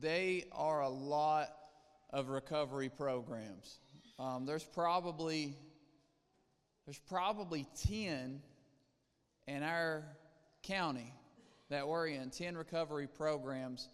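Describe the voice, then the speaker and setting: A man's voice: a little high, slow pace, long pauses, smooth. A man from Eastern Kentucky leading a ministry, preaching in an earnest, plainspoken way with frequent fillers. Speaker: ministry leader. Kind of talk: preaching testimony talk. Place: church sanctuary. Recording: long reverb of a church hall.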